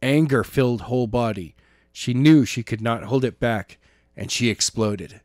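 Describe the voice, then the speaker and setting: A man's voice: medium pitch, moderate pace, a little mellow, no room sound. Man reading fiction aloud, dry sound. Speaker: podcast narrator and co-host. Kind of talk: audiobook-style reading of a novel chapter, amateur.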